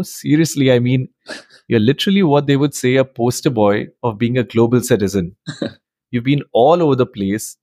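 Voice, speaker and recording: A man's voice: mid-range voice, a little mellow, no echo, clean studio sound. A man hosting a podcast, introducing and questioning his guest in an effusive, enthusiastic way. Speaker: podcast host. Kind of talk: interview hosting.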